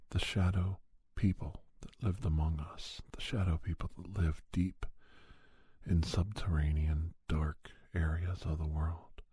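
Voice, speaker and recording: A man playing a grave paranormal investigator telling his tales in ASMR style, husky and near-whispering, with deliberate, halting phrases. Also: low voice, dark tone, no room sound, heavily acted. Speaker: storyteller, podcast character host. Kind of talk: ASMR scripted horror storytelling.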